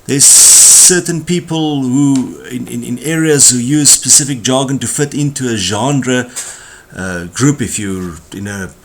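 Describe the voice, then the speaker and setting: A man's voice: medium pitch, measured pace. A man with a South African accent giving a communication skills tutorial, explaining ideas in a conversational way with emphatic asides. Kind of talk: tutorial lecture.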